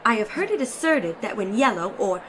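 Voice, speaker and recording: A woman giving a dramatic, expressive audiobook reading of a satirical story in letters, stretching some phrases for effect. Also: mid-range voice, a little fast, a little hiss or hum. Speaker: narrator of a classic short story.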